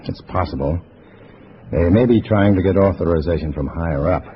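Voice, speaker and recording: An actor playing a cool undercover American agent posing as a comrade, colloquial and composed, in 1950s radio drama style. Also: male voice, low-pitched, a little fast, close to an everyday voice. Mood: conspiratorial. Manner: colloquial, hard-boiled, composed. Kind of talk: 1950s radio drama acting with first-person narration. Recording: dull, noisy vintage radio recording.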